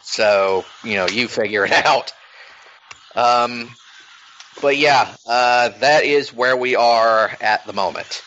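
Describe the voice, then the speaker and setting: A man hosts a loose podcast chat at an unhurried pace, his voice bright and forceful. He rambles and swears freely, and the recording sounds slightly dull and lo-fi. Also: mid-range voice, slight background noise. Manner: profane, rambling, many fillers. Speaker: podcast host.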